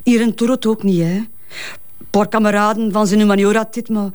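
A woman's voice: mid-range voice, fairly even intonation, a little fast, darkish tone, clean tone, lightly acted. An actress playing a grieving, protective mother in a Flemish crime drama, speaking hesitant, colloquial West Flemish with repeated words. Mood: wistful, fond.

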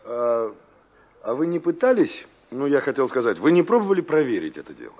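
A dull, vintage radio drama recording of a young male engineer. His voice is husky and moves up and down a lot. He is dry, probing and impatient, in the acting style of 1960s Soviet radio. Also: medium pitch, very animated, a little fast. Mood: dry, questioning.

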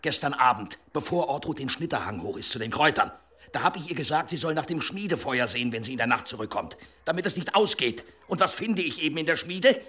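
A man's voice: a little high, lively intonation, fast talker, pushed and bright, husky, exaggerated voice. An actor playing a stern, grumbling middle-aged village blacksmith and father in a medieval radio play. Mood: irritated. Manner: grumbling, indignant.

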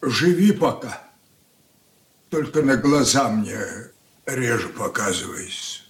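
A stern old merchant father in a radio play. He speaks slowly with wide swings of pitch, domineering yet heartbroken. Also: lively intonation. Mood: grieved, stern. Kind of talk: Soviet radio drama acting.

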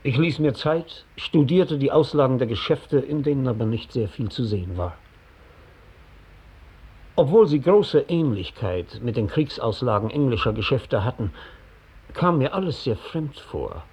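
Husky, reflective male wanderer. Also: mid-range voice, animated, darkish tone. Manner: measured, thoughtful. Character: reflective stranger recounting his journey to police. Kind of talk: radio drama monologue.

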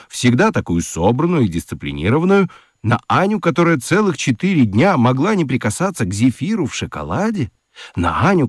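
A man narrating a children's radio story expressively, with theatrical emphasis and dramatic pauses. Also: mid-range voice, husky, no room sound, studio quality. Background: music.

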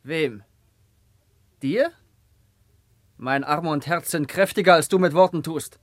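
A proud young prince of the wilds in a classical radio drama. His voice is on the high side and very dry in the studio. He delivers verse in a fearless, declamatory manner. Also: slow pace, no echo. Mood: defiant, mocking. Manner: poetic, declamatory. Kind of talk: classical German radio play acting.

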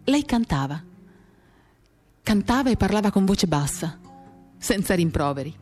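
A woman giving a measured, expressive dramatic reading of a first-person novel, pausing as she tells it. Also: medium pitch. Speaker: reader of a literary work. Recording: acoustic guitar music behind the voice. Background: acoustic guitar accompaniment.

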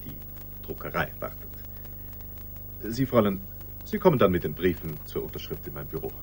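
An actor playing a fussy, authoritative office boss in a radio play, admonishing and officious. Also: male voice, a bass voice, expressive, moderate pace, gravelly, slight background noise, full character voice, rough recording. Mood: impatient, scolding. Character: fussy, authoritative male office boss scolding his staff.

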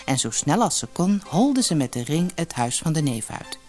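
A woman reading a fairy tale as an audiobook storyteller, expressive and measured. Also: low-pitched, soft background music. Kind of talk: scripted fairy-tale narration.

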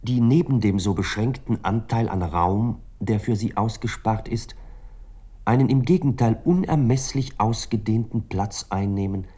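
A reflective male writer in a literary radio play, his voice low and very mellow. He muses on his work in measured, formal phrases. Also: slightly rough. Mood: contemplative. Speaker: actor reading the novelist-narrator's words. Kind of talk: literary radio drama monologue.

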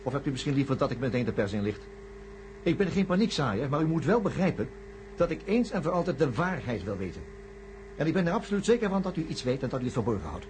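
A man's voice: mid-range voice, very animated, quick pace, darkish tone, close to an everyday voice. A man playing an insistent, confrontational psychiatrist in a science-fiction radio play, speaking formally and pointedly. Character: insistent male psychiatrist demanding the hidden truth. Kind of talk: radio drama acting. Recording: noisy old radio recording with hum.

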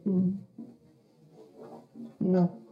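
An actress in a radio drama playing a bewildered, disoriented woman with no memory, speaking in halting questions. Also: lowish voice, completely flat delivery, muffled recording. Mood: dazed. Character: bewildered woman with lost memory, revived into a strange time.

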